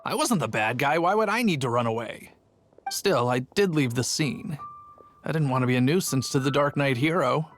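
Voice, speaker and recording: An actor playing a chatty, sheepish city guard in a video game who tells a long story and gets defensive. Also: male voice, mid-range voice, animated, moderate pace, faint music behind, close to an everyday voice.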